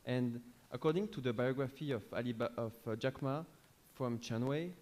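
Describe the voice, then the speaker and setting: A man's voice: mid-range voice, moderate pace, a little mellow. A man with a French accent asking a lecturer a long question from the audience, hesitating and rephrasing as he goes. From France. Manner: hesitant, circling back to rephrase. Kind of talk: question from the floor.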